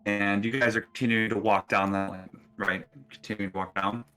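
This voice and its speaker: A man narrating a tabletop roleplaying game as dungeon master, halting and repeating words as he goes. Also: on the low side, steady tone, bright, forceful tone, clean tone. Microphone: online group call. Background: music.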